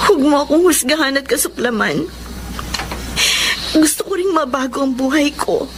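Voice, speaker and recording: A proud young woman, stumbling through a hesitant apology in a high voice. Clear background hiss sits behind this radio drama recording.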